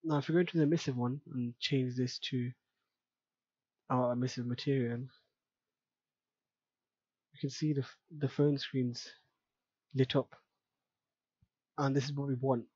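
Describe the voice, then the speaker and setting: Guy doing a screen tutorial, mellow bit husky voice, casual thinking out loud, kinda lo-fi audio. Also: medium pitch, fairly even intonation, normal speed, pauses often, dry recording. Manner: casual, thinking aloud step by step. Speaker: tutorial presenter. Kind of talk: unscripted screen-recorded software tutorial narration.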